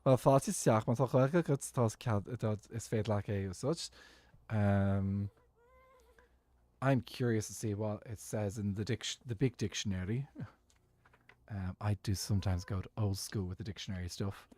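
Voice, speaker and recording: A man's voice: on the low side, moderate pace, a little mellow, no echo. A man giving easygoing, musing commentary while he plays a game as a streamer. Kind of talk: casual gaming commentary.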